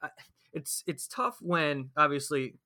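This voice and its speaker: A male podcast host with a bright, somewhat high voice. He interviews conversationally about sports, building up to each question. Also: lively intonation, a little slow.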